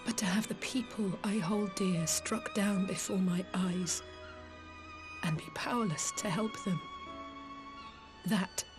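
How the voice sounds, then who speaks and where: A woman's voice: mid-range voice, slow pace, pauses often, music in the background, lightly acted. A young woman playing an earnest, heartfelt young heroine who grieves for her fallen friends in a video game cutscene. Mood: sorrowful.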